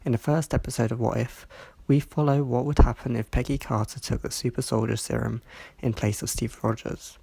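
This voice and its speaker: A reviewer reading a scripted review in a measured way, sounding stuffy from hay fever. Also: mid-range voice, steady tone, vocal fry, mellow, breathy edge, no echo. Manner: measured, reads from a script with small slips. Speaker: video reviewer.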